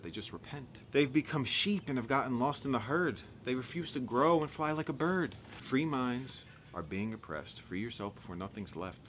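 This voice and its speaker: A man performing a rhyming, satirical spoken-word monologue in a sardonic, emphatic, theatrical way with dramatic pauses. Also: mid-range voice, a little hiss or hum. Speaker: monologue poet.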